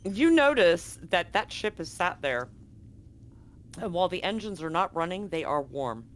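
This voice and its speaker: A game master with a clear, smooth voice in a middling register runs a tabletop roleplaying game. The delivery is informal and conversational, working out the scene aloud. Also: mid-range voice, big pitch swings, moderate pace. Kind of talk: narrating a live-streamed tabletop roleplaying game.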